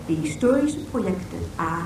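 An actress voicing a formal, instructive information tape in scripted documentary style within a radio play. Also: female voice, on the low side, animated, moderate pace. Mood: formal, informative. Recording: echoing, distant, music behind.

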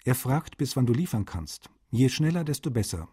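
A caring, fatherly translator reads letters to his friend for a radio feature, gently nagging. His voice is dark and husky, sitting at an average pitch. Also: very animated, normal speed. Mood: concerned, businesslike. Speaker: actor reading letters.

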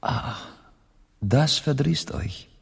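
An actor playing a measured, wry Spanish poet and interpreter in a radio drama, calm and deliberate. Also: male voice, mid-range voice, vocal fry, breathy edge, full character voice. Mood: wry, gentle. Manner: deliberate, calm, gently ironic. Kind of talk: radio play acting.

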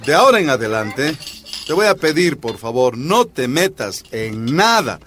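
A man's voice: mid-range voice, sing-song, unhurried, fairly bright tone, faint music behind. A man playing a bossy, traditional Bolivian miner and husband in a radio drama, insistent, with a Bolivian accent. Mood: stern, pleading.

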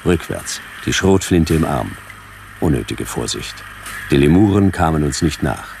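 A man playing a wry, laconic detective with a gravelly voice and a dry, clipped, deadpan delivery in a noir radio drama. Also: rumbling low, unhurried, a little hiss or hum, full character voice. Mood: deadpan narration. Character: hard-boiled male detective, wry and laconic. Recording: music behind the voice.

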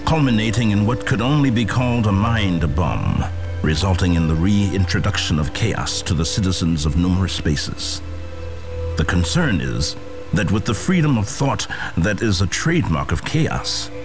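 A male official with a very deep voice, giving a formal report in bureaucratic language. It is radio drama, with music playing clearly underneath. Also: sing-song, moderate pace. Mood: measured concern.

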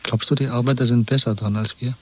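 An actor playing a weary, wary dissident lover in a 1970s German radio drama, with a husky voice and a measured, resigned delivery. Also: male voice, mid-range voice, steady tone, slight background noise, lightly acted. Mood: resigned, bitter. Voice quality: husky, breathy.

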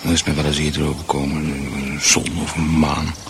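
An actor playing a bewildered, meekly polite husband losing his grip in a radio drama, muttering haltingly and repeating himself. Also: extremely low pitch, level tone, creaky, slight background noise. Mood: muttering, puzzled.

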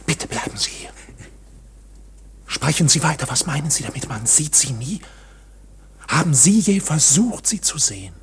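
Animated, uneasy accused man. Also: on the high side, moderate pace, pauses often. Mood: probing, uneasy. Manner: probing short questions, often hushed. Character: questioning, incredulous accused man, stubborn and uneasy. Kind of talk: German radio play acting.

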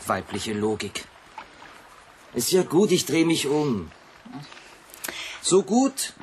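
A man's voice: a little high, big pitch swings, slow pace, a little mellow, a little hiss or hum. A man playing a flirtatious, teasing lover in a German radio play. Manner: teasing, bantering.

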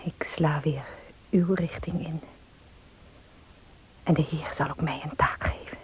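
A woman's voice: low voice, fairly even intonation, measured pace, a little mellow, in a whisper. An actress playing an earnest, devout and resolute young woman in a radio drama, with polite, formal diction. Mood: solemn, determined. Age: young adult. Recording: noisy old radio play recording.

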